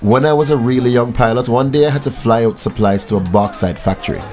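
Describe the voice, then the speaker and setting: A man's voice: mid-range voice, fast talker, darkish tone, faint music behind, natural voice. Affable Jamaican pilot, played by a man. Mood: friendly, informative. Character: affable young male airline pilot, humble and earnest. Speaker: actor in a radio drama. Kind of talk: scripted radio drama.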